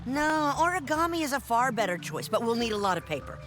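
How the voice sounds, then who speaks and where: A woman playing a quirky, eager, scrappy companion in a comedic animated game, punchy and opinionated. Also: a little high, fairly quick. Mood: feisty. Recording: loud music behind the voice.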